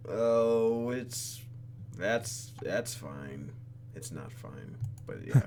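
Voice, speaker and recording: A man's voice: lowish voice, animated, very slow, very noisy. A man doing playful, exclamatory gameplay commentary as he reads a visual novel aloud, with faint music behind him. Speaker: let's play host.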